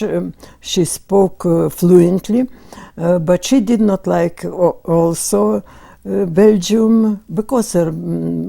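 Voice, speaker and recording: A woman in her eighties from Lithuania, with a Lithuanian accent, speaking slowly and hesitantly in an oral history interview. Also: fairly low pitch, gravelly, warm tone. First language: Lithuanian and Russian. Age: 80s. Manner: slow and hesitant, many pauses and fillers. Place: museum interview room.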